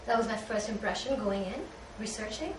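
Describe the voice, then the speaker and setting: A young woman from Pakistan moderating a casual student panel discussion with quick, leading questions. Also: medium pitch. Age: young adult. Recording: roomy, distant mic with background noise.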